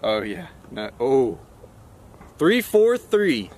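An excitable young man hosts a fishing video from a small boat on an open lake. His voice sits at an ordinary middle pitch, and he laughs easily and ribs his partner in a relaxed, casual way. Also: drawn-out words. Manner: excitable, laughing, friendly trash talk. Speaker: fishing vlog host. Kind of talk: casual chat while fishing.

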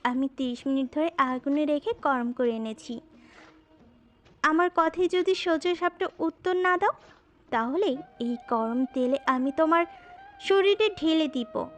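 A woman's voice: high voice, unhurried. A woman playing a hot-tempered, possessive but secretly loving young wife in a romantic audio story, with a scolding, coquettish manner. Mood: angry, threatening. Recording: music under the voice.

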